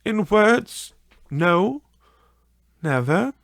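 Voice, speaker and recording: A man voicing a gentle, sorrowful young Victorian woman in an audiobook, speaking in a deliberate, drawn-out way. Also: sits high, very animated, creaky, no room sound, clean studio sound, pitched above the performer's own voice, exaggerated voice. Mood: sorrowful, resolute. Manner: soft, deliberate, drawn-out phrasing. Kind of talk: audiobook character voice.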